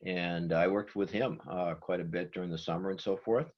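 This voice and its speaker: A man's voice: sits low, steady tone, moderate pace, highs cut off. A man in his seventies from Massachusetts with a Boston accent, chatting as a guest and laughing easily. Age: 70s. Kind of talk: casual video call chat.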